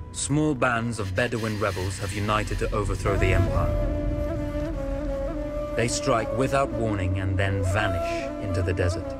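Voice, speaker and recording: Actor as low-voiced British officer narrating solemnly. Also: male voice, close to an everyday voice. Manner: measured, solemn storytelling. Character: composed British officer, male, narrating his desert war. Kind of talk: scripted dramatic narration.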